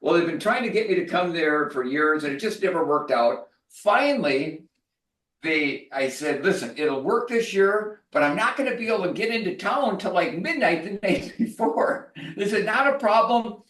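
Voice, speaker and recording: A man's voice: high-pitched, normal speed. A man co-hosting a podcast, telling an anecdote in a casual chat, laughing often as he goes. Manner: animated storyteller who laughs often. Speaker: podcast co-host, storyteller. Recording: roomy sound, distant from the mic.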